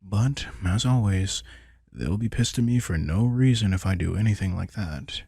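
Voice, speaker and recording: Low, gravelly vampire king played by a man. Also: normal speed, mellow, no room sound, studio quality. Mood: casual, protective. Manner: casual, reflective, swearing, addresses listener directly. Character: centuries-old vampire king, wry, protective, fond mentor. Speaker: audio roleplay performer. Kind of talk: intimate scripted audio roleplay, conversational monologue.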